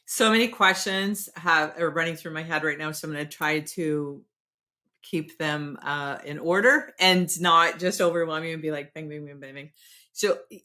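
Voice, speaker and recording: A woman hosts a podcast interview, chatting with easy, rambling excitement. Her pitch sits low, yet her tone is bright and forward. She often laughs at herself as she talks. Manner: excitable, rambling, self-deprecating. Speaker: podcast host. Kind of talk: casual interview chat.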